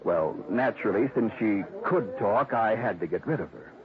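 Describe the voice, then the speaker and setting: A man's voice: lowish voice, expressive, moderate pace, crowd noise. An actor playing a callous, self-justifying publicity man in a 1950s radio drama, explaining himself defensively. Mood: callous, resigned. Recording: tinny, narrowband old radio recording.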